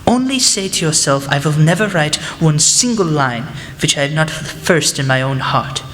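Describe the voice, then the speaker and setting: A woman acting in a student radio play as a gentle, humble older male professor, speaking in a halting, formal way. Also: low voice, lively intonation, a little hiss or hum. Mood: earnest, encouraging. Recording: reverberant hall with music behind.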